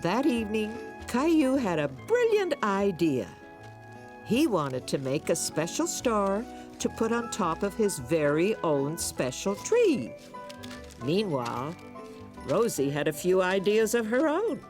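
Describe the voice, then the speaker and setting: A woman playing a kindly storybook narrator, telling a scripted preschool story with expressive delivery. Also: mid-range voice, measured pace, music in the background. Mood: fond storytelling. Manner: expressive storytelling for young children.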